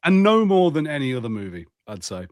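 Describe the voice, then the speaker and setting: A man co-hosting a film podcast, chatting casually and telling things in an animated way with mock impressions. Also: on the high side, brisk, no room sound, clean studio sound. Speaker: podcast co-host. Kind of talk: casual banter on a film watch-party podcast.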